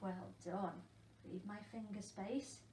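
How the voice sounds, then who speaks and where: A woman, a primary school teacher, leading an interactive phonics lesson for young children, encouraging them and asking questions with pauses for answers. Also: mid-range voice, fairly even intonation. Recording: echoey room with faint background noise.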